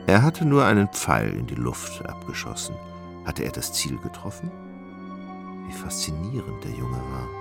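Extrem tiefer, knarrender Erzähler. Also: male voice, very deep voice, creaky. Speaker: narrator. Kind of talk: scripted literary narration for a radio play.

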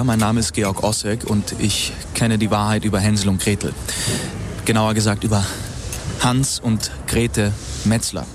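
An actor playing a self-assured, eccentric fairy-tale archaeologist who lectures formally and with conviction in a radio drama. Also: male voice, on the low side, close to an everyday voice. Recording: clear outdoor background noise.

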